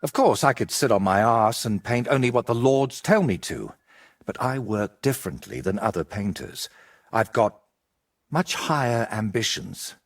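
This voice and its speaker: An actor playing an ambitious, vain medieval painter in a video game, speaking in an articulate, theatrical and persuasive way. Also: male voice, on the low side, very animated, moderate pace. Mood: self-assured. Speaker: painter character. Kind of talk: video game cutscene dialogue.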